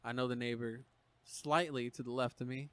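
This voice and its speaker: A man's voice: mid-range voice, fairly even intonation, moderate pace, fairly bright tone, smooth, no echo. A man hosting a podcast, asking questions in an easygoing, rambling way with many fillers. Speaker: podcast host. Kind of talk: casual podcast interview.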